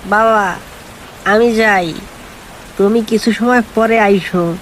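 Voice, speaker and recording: Woman acting a slow-spoken, earnest young boy. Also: medium pitch, fairly even intonation, natural voice, rough recording. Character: dutiful young farmer's son, anxious and earnest. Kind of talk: animated folk tale acting. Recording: faint hiss and rain noise.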